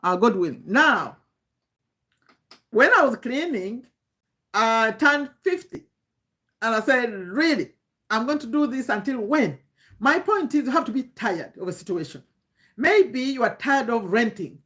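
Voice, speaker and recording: Female, 50s, Ugandan accent, slightly high pitch; informal online coach, emphatic and repetitive, posing rhetorical questions. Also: very animated, a little slow, long pauses, fairly bright tone. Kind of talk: informal motivational talk to camera.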